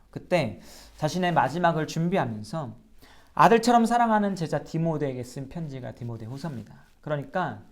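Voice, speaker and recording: A man's voice: mid-range voice, darkish tone. A man, a pastor, giving an earnest sermon-style Bible lecture and asking his listeners rhetorical questions. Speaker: pastor teaching a Bible class.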